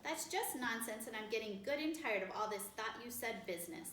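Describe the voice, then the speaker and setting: A woman voicing a flustered, exasperated mother who scolds with rising pitch. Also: lively intonation, brisk, fairly bright tone, a little hiss or hum. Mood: scolding. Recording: roomy, distant mic.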